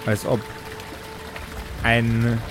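A man acts as game master for a fantasy tabletop game, narrating scenes and calling for checks. His voice is mid-pitched and his delivery unhurried. Music plays clearly behind him. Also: monotone.

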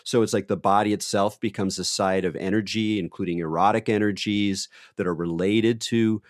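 A man with a booming voice talking in a rambling, thoughtful way, full of fillers and restarts. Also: steady tone, normal speed, no echo, studio quality. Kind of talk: thoughtful conversational talk.

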